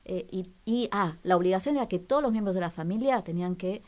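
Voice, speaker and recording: A woman with a medium-pitched voice presents a casual radio culture segment. She talks conversationally, pausing to find her words and repeating phrases for emphasis. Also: darkish tone. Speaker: co-host of a cultural radio show.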